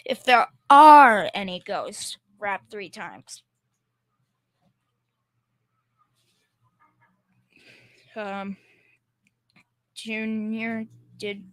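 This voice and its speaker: A woman's voice: medium pitch, slow pace, long pauses, a bit bright. Girl playing a blustering, cowardly working-class dad. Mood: nervous. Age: teenager. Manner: folksy, wisecracking, ungrammatical. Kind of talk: old-time radio comedy acting.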